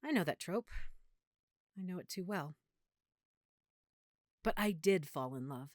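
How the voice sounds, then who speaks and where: Woman acting lovesick vampire girlfriend, low and intimate. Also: animated, darkish tone, no room sound, studio quality. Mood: confessional, yearning. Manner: tender, confiding, dramatic pauses. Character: lovesick, guilt-ridden female vampire hiding her nature from boyfriend. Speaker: voice actress in audio roleplay. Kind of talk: intimate girlfriend audio roleplay.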